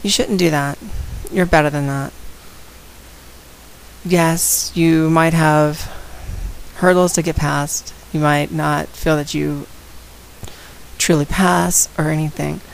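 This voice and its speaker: Woman vlogger, low gravelly voice, raspy from a head cold, outspoken and direct, faint hiss. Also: steady tone, slow, pauses often, low-quality recording. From San Antonio, Texas. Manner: outspoken, direct, addresses viewers. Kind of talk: casual talk to camera.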